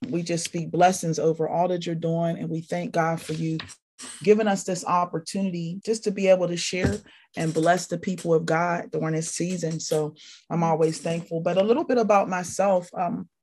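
A woman's voice: on the low side, fairly even intonation, moderate pace, a little mellow, a little hiss or hum. A woman from Pittsburgh, a podcast guest, talking casually and rambling with many fillers and repeated phrases. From Pittsburgh, Pennsylvania. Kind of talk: casual spoken testimony.

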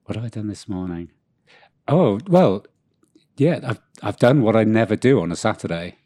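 Male co-host, very low voice, a bit sniffly, wry and self-mocking, dry close recording. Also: expressive, normal speed, mellow, no room sound. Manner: dry, self-deprecating humor. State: has a cold. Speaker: podcast co-host. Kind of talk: casual chat. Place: room with co-host far off.

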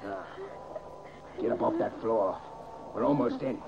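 A man's voice: on the low side, measured pace, gravelly. An actor playing a curt, threatening armed bank robber who talks in tough-guy slang in an old radio crime drama. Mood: furious. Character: menacing, gruff bank robber with a gun. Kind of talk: 1940s radio crime acting. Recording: muffled, noisy old radio recording.

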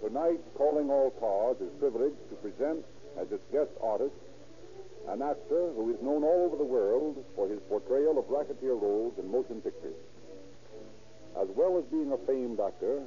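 A man's voice: mid-range voice, warm tone. An American man announcing from a script as host of a 1930s radio programme. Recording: muffled, lo-fi 1930s radio recording.

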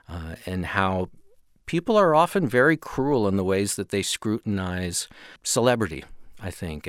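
A man's voice: sits low, no echo, studio quality. A man co-hosting a music show, talking casually and thoughtfully, sometimes searching for his words.

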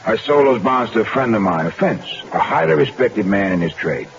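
Twitchy pickpocket, low voice but jumps all over, sounds like an old radio. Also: animated, brisk, creaky. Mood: evasive. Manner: polite, evasive, jittery. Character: nervous, sly male pickpocket. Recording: dull old radio broadcast.

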